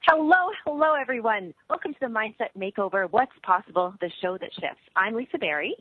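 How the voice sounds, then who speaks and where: A bubbly woman co-hosts a radio talk show, her voice swooping up and down as she chats. Her tone is slightly husky and very dry. It comes through a thin, tinny phone line. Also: a little fast, dry recording. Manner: bubbly, laughs often, lively pitch swings. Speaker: co-host.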